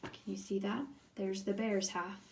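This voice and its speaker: A woman's voice: medium pitch, level tone, a little slow, vocal fry, a little mellow. A woman teacher reading a children's storybook aloud expressively, speaking directly to young listeners.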